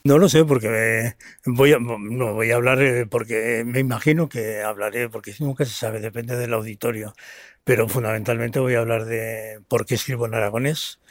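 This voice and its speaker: A man's voice: mid-range voice, steady tone, normal speed, dry recording, clean studio sound. A man with an accent from Spain giving unscripted interview answers, often hesitating and rephrasing. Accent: Spanish from Spain. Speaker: writer and historian being interviewed.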